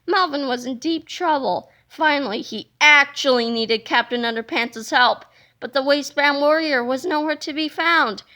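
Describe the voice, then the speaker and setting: A woman reading a children's book aloud with an expressive storytelling delivery. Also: high voice, moderate pace. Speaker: reader.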